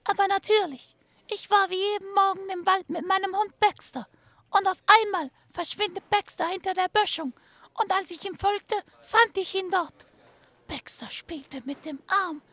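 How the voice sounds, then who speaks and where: A melodramatic lady witness in a crime radio play. Her voice sits extremely high with a bright, piercing edge and some creak. She is fussy and theatrical, stretching her words. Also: moderate pace, no room sound. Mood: dramatic, horrified. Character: fussy, melodramatic female witness, shrill and guiltily hesitant. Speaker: actor in an audio drama. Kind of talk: hobby German crime audio drama acting.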